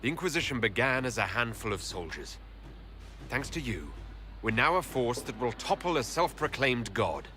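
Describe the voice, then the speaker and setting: A man's voice: mid-range voice, sing-song, moderate pace, music in the background, natural voice. A man playing a resolute fantasy army leader in a video game, decisive, rousing and formal in his delivery. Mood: rousing, grateful.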